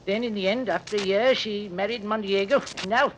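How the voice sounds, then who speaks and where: An actor playing a gossipy, bitter tailor who tells tales eagerly in a 1930s radio drama. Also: male voice, high voice, steady tone, a little fast, fairly bright tone, a little hiss or hum, rough recording. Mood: indignant, gossipy.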